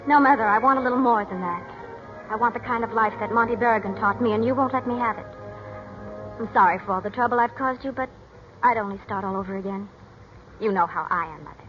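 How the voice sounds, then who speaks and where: An actress playing a cold, haughty, spoiled young daughter in a 1940s radio drama. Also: female voice, a little high, quick pace, natural voice. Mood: cool, mocking. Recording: muffled 1940s radio recording, music.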